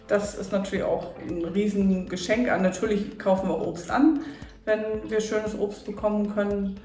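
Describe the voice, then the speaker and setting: A woman's voice: mid-range voice, level tone, moderate pace, a little mellow, far from the mic. Middle-aged woman in an echoey room. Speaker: juice maker. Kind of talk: casual interview explanation. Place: small tiled juice-pressing workroom indoors. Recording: echoey tiled room, off-mic, faint music.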